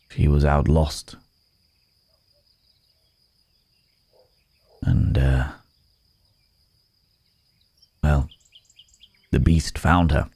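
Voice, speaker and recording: A man playing a weary, remorseful werewolf in human form, speaking haltingly and gravely in an intimate ASMR roleplay. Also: rumbling low, mellow, no echo, heavily acted. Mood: somber, remorseful.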